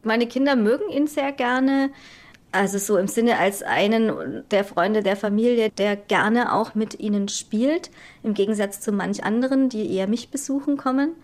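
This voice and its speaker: A woman speaks thoughtfully and candidly, weighing her words as she explains. It is a mid-pitched, natural voice in a documentary interview. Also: normal speed. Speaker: interviewee, mother.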